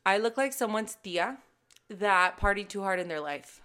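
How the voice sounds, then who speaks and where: A woman in her thirties, a fitness coach hosting her own podcast, talking to listeners in a casual, emphatic and animated way. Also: medium pitch, moderate pace, clear, resonant tone. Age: 30s. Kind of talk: casual solo podcast talk.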